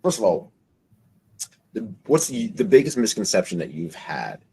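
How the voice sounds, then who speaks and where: A man in his forties hosting an interview, talking in a rambling, halting way with many fillers. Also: on the low side, big pitch swings, creaky, mellow, slightly rough. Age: 40s. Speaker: host. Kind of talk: podcast interview.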